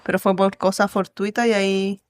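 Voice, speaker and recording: A woman with a Chilean accent, a podcast guest telling stories of moving abroad in a lively, laughing way. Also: mid-range voice, moderate pace. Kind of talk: casual storytelling interview.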